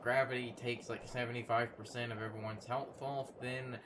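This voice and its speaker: A man in casual co-commentary on a video game, explaining in a halting way with game music behind him. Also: mid-range voice, flat delivery, noisy background. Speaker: let's play co-commentator. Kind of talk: casual gaming chat.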